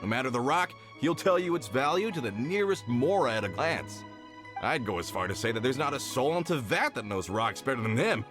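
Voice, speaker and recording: A smooth-talking middle-aged merchant, voiced by a man, with a mid-range voice that swings up and down as he sells himself. He is genial and boastful, the way a video game trader is. Soft game music sits faintly behind him. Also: mid-range voice, very animated, a little fast, lightly acted. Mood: persuasive. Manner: salesman-smooth, persuasive, talks himself up.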